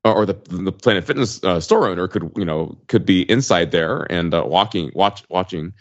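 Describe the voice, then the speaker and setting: A man's voice: low-pitched, normal speed, dull audio. A man co-hosting a casual podcast discussion, musing tentatively and checking for agreement as he goes. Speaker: podcast co-host.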